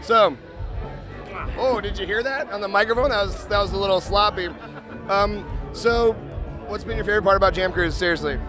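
High-voiced joking man interviewing amid music. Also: brisk. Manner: joking, teasing. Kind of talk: casual on-the-spot interview chat. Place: busy open deck bars on a cruise ship. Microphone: handheld microphone. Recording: loud music and crowd behind voice.

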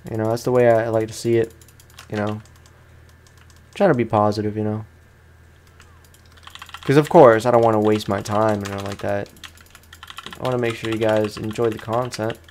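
A man's voice: on the low side, a little mellow, slight background noise. A man giving casual, rambling let's-play commentary with informal slang, chatting to viewers as he plays. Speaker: gaming content creator, let's play host. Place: room at home.

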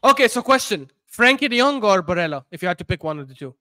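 Man, bright sharp tone, teasing panel chat, dry. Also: high voice, sing-song, quick pace, no echo. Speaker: podcast panelist. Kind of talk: casual football chat.

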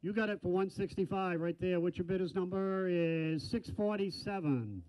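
Older auctioneer man, high rapid chant. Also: darkish tone. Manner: rapid bid chant with folksy asides. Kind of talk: auction calling. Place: large indoor auction hall. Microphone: handheld mic. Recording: dull lo-fi sound.

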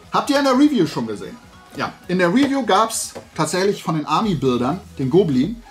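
A man in his forties talking casually and enthusiastically as he presents a toy haul to viewers, rambling along conversationally. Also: fairly high pitch. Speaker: host of a toy collecting video show. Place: small room full of toy shelves, indoors.

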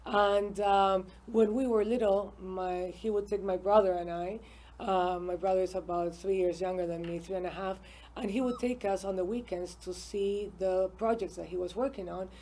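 A middle-aged woman from Peru, speaking as an interview guest in a small studio. Her voice is dark and mellow. She talks in a relaxed, friendly way and pauses often with fillers. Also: medium pitch, poor audio. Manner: warm, relaxed, fills pauses with um and uh. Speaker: interview guest, hotel owner. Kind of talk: casual interview chat. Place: small TV studio set with bookshelves.

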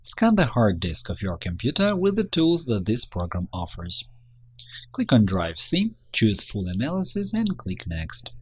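Husky man narrating a tutorial. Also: on the low side, huge pitch swings, measured pace. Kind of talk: scripted instructional narration.

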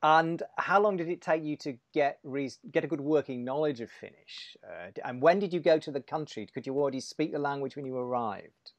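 A man's voice: on the high side, a little fast, hardly any pauses, dry recording. Man asking long, thoughtful questions. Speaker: interview host. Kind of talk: interview questions. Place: room at home.

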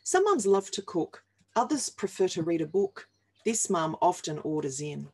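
A woman in her forties with an Australian accent reads comic rhyming verse aloud in a deliberate, wry and playful manner. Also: on the low side, big pitch swings, darkish tone, breathy edge. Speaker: reader of a humorous picture book. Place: small home office, indoors.

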